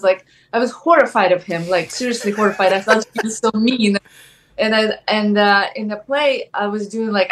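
Soft-spoken woman with a Ukrainian accent. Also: mid-range voice. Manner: soft-spoken, many "like" fillers, thoughtful pauses. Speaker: actress guest. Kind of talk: casual interview chat.

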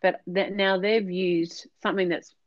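A woman with a lowish voice talks through her business ideas in an earnest, explanatory way, dotting her sentences with fillers. The sound is dull and lo-fi, with a close, dry quality and no room around it. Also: little pitch movement, a little slow, no room sound. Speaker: podcast guest, business consultant. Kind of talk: conversational interview answers.

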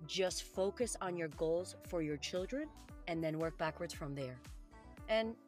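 A woman's voice: on the low side, a little slow, darkish tone, faint music behind. A woman from Colombia whose first language is Spanish, speaking earnestly and encouragingly as a podcast interview guest.

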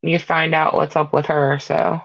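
A woman's voice: deep voice, level tone, unhurried, creaky, dull audio. A woman co-hosting a podcast in casual chat, with a wry, colloquial and sweary way of talking. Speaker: podcast co-host reviewing a horror film.

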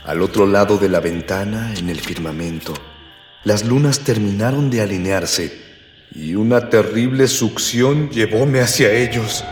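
A man's voice: on the low side, unhurried, roomy sound, over music, lightly acted. A man playing a pompous, florid, formal narrator in a mock-literary comic space radio drama. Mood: solemn, florid. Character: pompous narrator of a space-adventure comedy, grandiloquent, archaic.

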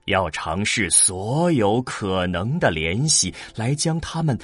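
A man narrating a science-fiction audio drama in a solemn, measured, dramatic way. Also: fairly low pitch, very animated, slightly rough, no room sound, clean studio sound, full character voice. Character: solemn storyteller of a cosmic tale. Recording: music behind the voice. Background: music.

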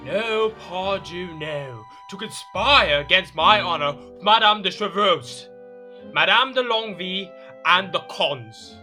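A man's voice: sits high, animated, slow pace, bright, forceful tone, soft background music, full character voice. An actor playing a petulant, jealous French king in a period audio drama, speaking in an imperious, indignant, theatrical manner. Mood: jealous, agitated.

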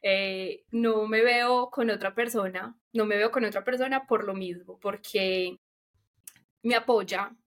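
A Colombian woman speaking candidly as an interview guest, colloquial and expressive, repeating words for emphasis. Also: mid-range voice, unhurried. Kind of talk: casual, candid chat.

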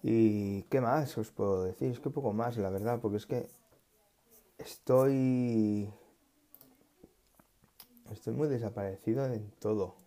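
A man talks through tech news in an unhurried, low and mellow voice. He often stops mid-word, restarts and corrects himself, musing aloud as he goes. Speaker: tech vlogger. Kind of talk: casual tech news chat.

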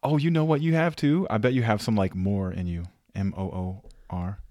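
A man's voice: on the low side, steady tone, moderate pace, mellow, no room sound, highs cut off. A man co-hosting a podcast in casual chat, rambling and profane, full of fillers. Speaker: podcast co-host.

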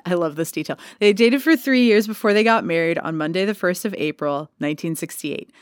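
A woman's voice: lowish voice, dry recording, studio quality. A woman co-hosting a casual podcast, chatting and reading a ghost story aloud, swearing casually. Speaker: podcast co-host.

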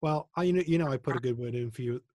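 A man's voice: mid-range voice, very fast, darkish tone, no echo. A male host interviewing a guest in a friendly way, often stumbling over restarts of his words. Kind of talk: casual interview.

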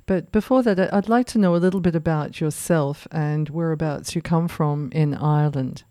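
A woman's voice: sits low, moderate pace, mellow, no echo, clean studio sound. A woman hosting a radio interview, asking questions courteously. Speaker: radio host.

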